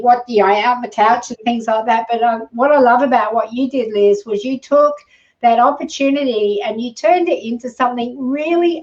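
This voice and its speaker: A woman's voice: on the high side, recorded from a distance, highs cut off. A woman hosting a casual interview, speaking in an effusive, encouraging way. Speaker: live show host.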